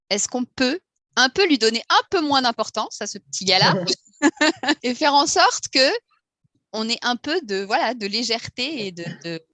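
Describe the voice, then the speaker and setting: A woman's voice: mid-range voice, a bit bright, no room sound. A woman, a podcast guest who lived through cancer, speaking candidly and lightly. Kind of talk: casual podcast conversation.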